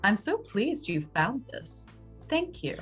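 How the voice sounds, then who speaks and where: A woman's voice: fairly high pitch, sing-song, slow pace, fairly bright tone, breathy edge, faint music behind, pitched above the performer's own voice, full character voice. A woman playing a serene, eerie, solicitous lady in a horror roleplaying game, composed and formally gracious. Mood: gracious serenity.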